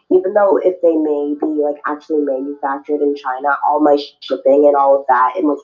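A woman's voice: low-pitched, darkish tone. A young woman raised in Cameroon and California, answering interview questions in a loose, rambling way with many fillers. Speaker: guest, swimwear business owner. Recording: dull lo-fi recording.

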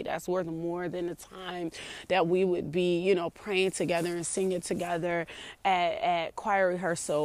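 A woman with a deep voice, reminiscing casually to her viewers in a heartfelt, rambling way. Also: a little mellow. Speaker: vlogger.

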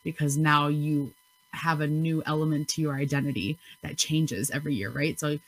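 Low, husky-voiced chatty woman podcaster. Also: steady tone, moderate pace, low-quality recording. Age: 30s. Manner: chatty, giggly, self-correcting. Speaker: podcast host. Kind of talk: solo podcast chat.

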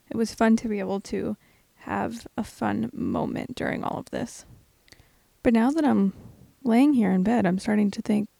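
A young woman hosting a casual advice podcast, her voice hoarse and raspy, chatting and laughing often while lying in bed at home. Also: fairly high pitch, mellow, dry recording. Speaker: podcast host.

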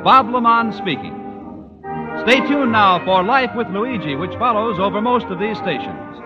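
High-pitched male vintage radio announcer. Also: lively intonation, a little slow. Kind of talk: 1940s scripted radio announcing and advertising. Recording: muffled old radio, long reverb, music behind.

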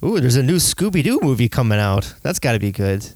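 A man's voice: mid-range voice, sing-song, brisk, a little mellow, dry recording. A man hosting a podcast, chatting casually in a nasal voice and rambling through lists. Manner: nasal, rambling list-making. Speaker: podcast host. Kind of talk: casual chat.

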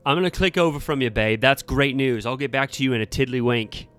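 A man's voice: medium pitch, sing-song, moderate pace, a bit bright, faint music behind, voice pushed up. A man voicing a slick, patronizing old-time Hollywood talent agent with brash, schmoozing showbiz patter. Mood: hurried schmoozing.

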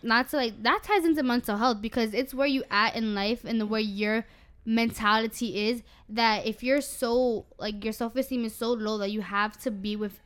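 A woman's voice: on the high side, fairly even intonation, normal speed, a bit bright, smooth. A teenage girl co-hosting a casual student panel chat, explaining her views at length in an earnest way. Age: teens. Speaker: student co-host.

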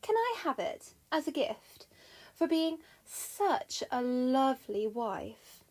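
A woman's voice: high voice, very animated, takes it slowly, darkish tone, exaggerated voice. A British woman voicing a sly, suspicious goddess wife in a comic myth, sweetly probing. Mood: falsely innocent.

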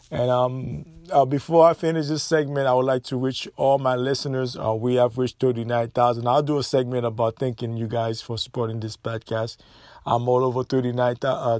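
A man's voice: medium pitch, darkish tone, no echo. Man, solo podcast host, emphatic and repetitive, dry close sound. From Haiti. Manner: opinionated, emphatic, repeats himself.

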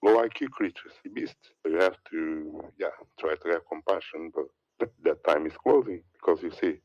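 A man's voice: moderate pace, muffled recording. A man giving a heated, rambling religious monologue, repeating words and lists as he preaches. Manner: preachy, rambling, repeats words, mocks others in a put-on high voice. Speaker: religious commentator.

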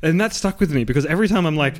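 A man with a deep voice talks casually as a podcast co-host. He rambles, corrects himself mid-sentence and slips in jokes. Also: animated, very fast. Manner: rambling, self-correcting, joking. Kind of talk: casual chat.

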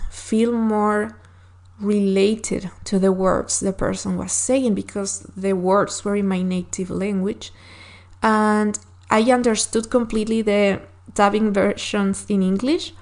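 A woman whose first language is Spanish, hosting a solo podcast and giving earnest, encouraging advice with frequent fillers and restarts. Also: medium pitch. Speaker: podcast host.

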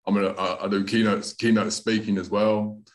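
A man's voice: lowish voice, level tone, a little fast. A man from North Carolina with a mixed Southern US and Australian accent, a comedian chatting casually as a podcast guest. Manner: laid-back, says man and dude a lot.

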